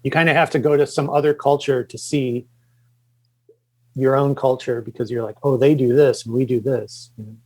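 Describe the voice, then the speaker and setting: Halting middle-aged man, lots of fillers. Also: medium pitch, steady tone, a little mellow. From Chicago suburbs, Illinois. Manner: halting, many fillers and restarts. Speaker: podcast guest, comics creator. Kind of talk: casual interview talk.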